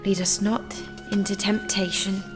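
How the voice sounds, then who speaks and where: A woman's voice: mid-range voice, flat delivery, measured pace, music in the background, close to an everyday voice. An actress playing a solemn woman reciting a prayer in an audio drama. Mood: solemn, hushed. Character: solemn woman praying slowly.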